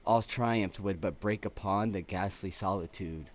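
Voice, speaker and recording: A man reading poetry aloud as an amateur, in a halting way with stumbles and restarts. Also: low voice. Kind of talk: poetry recitation with casual asides. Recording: noticeable background noise.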